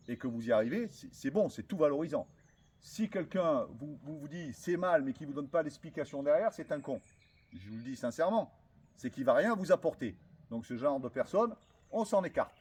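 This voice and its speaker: A man's voice: mid-range voice, darkish tone, husky. Blunt plain-spoken middle-aged man. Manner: blunt, plain-spoken, with many restarts and rhetorical questions. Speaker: former navy commando speaking to trainees. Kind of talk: motivational talk to a group.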